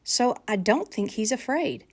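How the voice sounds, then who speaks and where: Storybook primary teacher, read by a woman: gentle, patient, explanatory, lively pitch movement. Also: unhurried, gravelly, full character voice. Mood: reassuring, explaining. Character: gentle, reasoning female primary school teacher.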